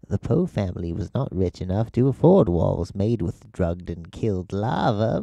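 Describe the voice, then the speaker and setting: A man's voice: medium pitch, gravelly, dark tone, no echo. A man with a British accent, an author reading his own story as scripted narration in a children's-tale style. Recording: music behind the voice.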